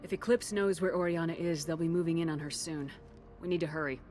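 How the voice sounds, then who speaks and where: Here is a woman playing a steady game commander with a lowish voice. She is measured, direct and probing, over quiet background music. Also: lightly acted. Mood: firm. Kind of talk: video game voice acting.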